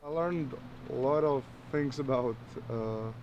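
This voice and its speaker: A man's voice: medium pitch, takes it slowly, lo-fi sound, a little hiss or hum. A teenage boy from the Czech Republic, an international student, speaking matter-of-factly in an outdoor interview. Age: teens. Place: outdoors on a lawn by woods.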